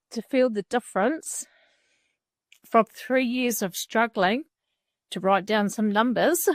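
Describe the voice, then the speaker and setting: Woman, new zealand accent, middle-aged, heartfelt testimonial, medium pitch, dry sound. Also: no echo. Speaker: client giving a testimonial. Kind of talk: personal testimonial.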